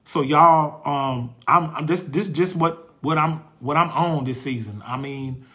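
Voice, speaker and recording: A man's voice: a little high, a little slow, bright, forceful tone. Passionate preacherly man in his forties. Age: 40s. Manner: passionate, preacherly, repeats phrases for emphasis. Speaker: host. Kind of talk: faith talk.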